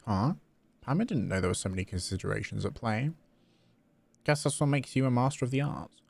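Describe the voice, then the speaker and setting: A man voicing a small, chatty female companion who talks about herself in the third person, reading game dialogue aloud on a livestream. His voice is gravelly and lively. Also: medium pitch, a little fast. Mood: puzzled.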